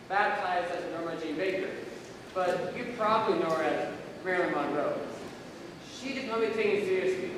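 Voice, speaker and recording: Guy playing solemn play narrator, measured n declamatory, super echoey hall w/ background noise. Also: sits high. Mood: earnest. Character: solemn narrator introducing famous women of history. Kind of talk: scripted stage narration. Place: large indoor performance space at a school. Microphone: distant livestream camera microphone. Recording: echoey hall from far off, noisy.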